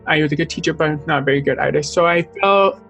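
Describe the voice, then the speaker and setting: A young man with a deaf speaker's accent talks about himself in an earnest, open way, his voice pitched somewhat high for a man. He halts over a word now and then. Faint music runs underneath. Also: creaky, a bit bright. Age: young adult. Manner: earnest, open, at times halting speech. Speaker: interview guest, artist. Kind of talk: casual interview conversation.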